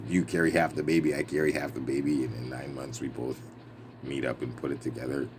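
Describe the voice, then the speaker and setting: A man's voice: very deep voice, little pitch movement, a little fast. A man reading a book aloud in an emphatic, playful manner, breaking away for casual, wry asides of his own. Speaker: reader and commentator. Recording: clearly noisy background ambience.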